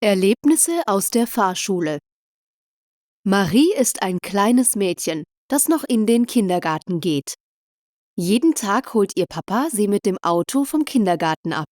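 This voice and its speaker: A woman narrates a short, simple story for language learners. Her voice sits at a middle pitch and every word is carefully formed. The sound is dry, with no room around it. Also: animated, measured pace, darkish tone, no echo.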